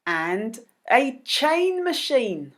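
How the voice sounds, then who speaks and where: A woman presenting a quiz for children as host, speaking deliberately and expressively to young viewers. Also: on the high side, very animated, heavy background noise. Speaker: host of children's read-aloud videos.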